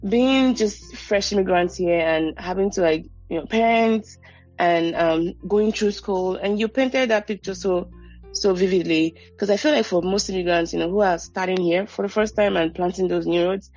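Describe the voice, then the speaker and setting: A woman host speaks in a thoughtful, encouraging way and pauses to reflect between her questions. Her audio sounds slightly dull and muffled. Faint music plays underneath. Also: medium pitch, darkish tone. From Nigeria. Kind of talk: interview questions and reflective comments.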